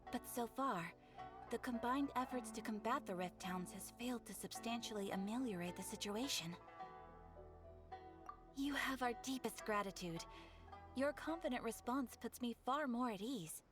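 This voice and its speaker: A poised guild receptionist, voiced by a woman, briefing in a formal, courteous tone. Her voice sits a little high, with game music clearly running underneath. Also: expressive, moderate pace, close to an everyday voice. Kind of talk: video game voice acting.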